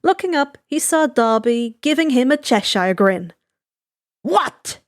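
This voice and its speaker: A woman's voice: fairly high pitch, lively intonation, normal speed, no echo, studio quality. A woman reading fan fiction aloud as a scripted narration. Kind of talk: scripted storybook narration with character voices.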